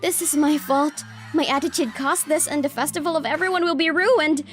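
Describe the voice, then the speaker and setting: High-pitched proud delinquent schoolgirl, acted by a woman. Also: steady tone, brisk, natural voice. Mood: distressed. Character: proud, defiant teenage delinquent girl with soft side. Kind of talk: scripted manga dub acting. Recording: music bed behind voice.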